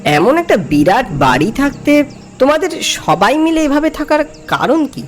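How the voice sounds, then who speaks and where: A man's voice: very high-pitched, sing-song, normal speed. An actor playing a curious, polite male office junior who narrates his own horror story. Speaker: narrator and character. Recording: music behind the voice. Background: background music.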